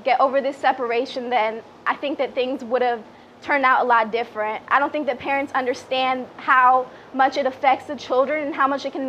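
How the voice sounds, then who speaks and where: A woman's voice: sits high, a bit bright. A woman in her twenties from the Washington DC area, born in Peru, giving an earnest, slightly hesitant personal speech into a handheld microphone at a small event. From born in Peru, grew up in the Washington DC area. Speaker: public relations director giving a speech. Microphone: handheld microphone, lapel mic also worn. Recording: muffled, noisy live PA recording.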